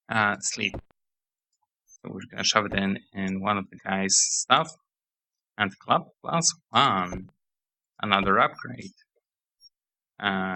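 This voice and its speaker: A man talks slowly through a game playthrough, hoarse and losing his voice. His tone is gravelly yet bright and forceful. Faint noise and mouse clicks sit behind him. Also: on the low side, low-quality recording. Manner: rambling, reads game text aloud. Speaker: let's play host. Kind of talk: casual gameplay commentary.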